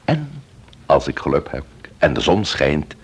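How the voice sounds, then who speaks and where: An actor playing a composed male investigator in a radio drama, authoritative yet tender, with a husky voice. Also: very deep voice, big pitch swings, measured pace, exaggerated voice. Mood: tender, coaxing.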